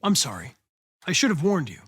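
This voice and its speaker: A man's voice: on the high side, very animated, a little fast, fairly bright tone, breathy edge, full character voice. A man voicing a polite, coolly logical young android detective in a video game, with formal, precise phrasing. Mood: soft, sympathetic. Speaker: police investigator android.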